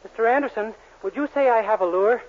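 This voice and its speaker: Actor as a high-voiced naive young man. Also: fast, faint music behind, close to an everyday voice. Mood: innocently earnest. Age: young adult. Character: naive, earnest, innocent young man, wholesome store clerk. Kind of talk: 1940s radio sitcom acting. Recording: muffled, noisy 1940s radio recording.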